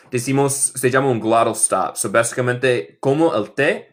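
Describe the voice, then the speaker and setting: Casting note: young American man, Midwestern accent, native English speaker teaching in Spanish, unhurried and methodical, repeats drills. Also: mid-range voice. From northern United States. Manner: slow, repeats example sentences several times. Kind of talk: live online English lesson taught in Spanish.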